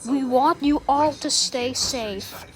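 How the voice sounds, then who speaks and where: A very high, slow female voice delivers a formal emergency broadcast as a grave president, acted by a woman in a homemade story. The phrasing is that of an official announcer reading scripted warnings, and loud music plays behind it. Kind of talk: scripted emergency alert narration.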